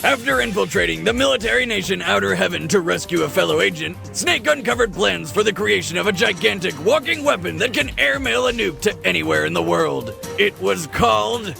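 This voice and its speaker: A man narrates in a high, heavily creaky voice that swings widely in pitch. His scripted delivery is hyped, punchy and comedic, like a brash co-host of a game show breakdown. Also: animated, a little fast, few pauses, bright, forceful tone, soft background music.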